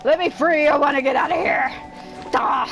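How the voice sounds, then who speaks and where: A woman's voice: sits high, steady tone, normal speed, gravelly, music in the background. A woman livestreaming a video game with casual, rambling commentary, blunt and complaining, swearing freely.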